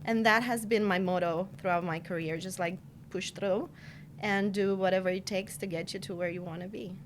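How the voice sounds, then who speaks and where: A woman in her forties from Romania, speaking at a middle pitch as a podcast guest. She talks in an earnest, reflective way, leaning on "you know" as she works through her story. A faint low rumble sits under the recording. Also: steady tone, moderate pace. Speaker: podcast guest, marketing director. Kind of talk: casual interview chat.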